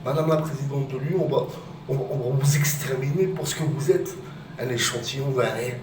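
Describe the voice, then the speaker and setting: A man filming himself on a phone outdoors near his home, rambling and agitated, rising to shouted accusations. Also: mid-range voice, fairly quick. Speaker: vlogger. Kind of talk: rambling phone-filmed rant. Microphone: phone camera. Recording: roomy distant outdoor phone recording with loud ambient noise.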